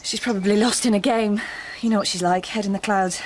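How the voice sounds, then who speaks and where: A woman's voice: mid-range voice, steady tone, fairly quick, slight background noise, natural voice. An actor playing an unhappy, emotionally strained mother and estranged wife in a naturalistic radio drama. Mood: tense, clipped.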